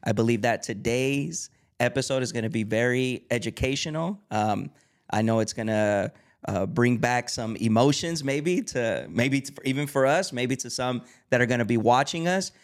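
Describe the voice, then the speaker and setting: A man's voice: mid-range voice, normal speed. Eager podcast host, man. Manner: enthusiastic, many fillers, reads the guest's bio aloud. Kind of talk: casual podcast interview.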